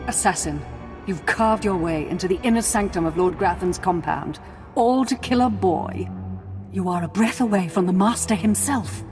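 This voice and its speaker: A woman acting a middle-aged dark noblewoman from a game, proud and scheming. She speaks formally and imperiously at a medium pitch, with long reverb and faint music around her. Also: normal speed, natural voice. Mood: urgent pleading. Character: proud, scheming Sith noblewoman and protective mother.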